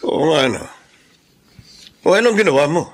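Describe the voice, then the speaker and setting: A man playing a middle-aged father who dotes on his partner in a Filipino radio drama, questioning and animated. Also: on the high side, normal speed, husky. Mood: surprised, agreeable.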